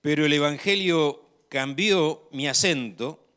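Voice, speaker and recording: A man with an Argentine accent preaching a sermon in a measured, emphatic way, growing louder on key phrases. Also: a little high, clear, resonant tone.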